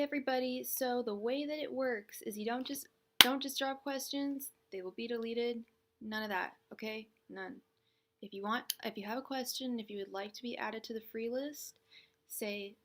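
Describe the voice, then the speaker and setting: A young woman hosting a tarot livestream, chatting casually, greeting viewers by name and laughing often. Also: a little high. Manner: says she talks fast.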